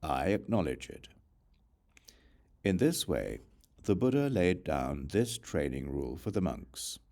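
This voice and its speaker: A man reading scripture as an audiobook narrator, in a measured, formal recitation. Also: sits low, sing-song, a little mellow. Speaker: audiobook reader.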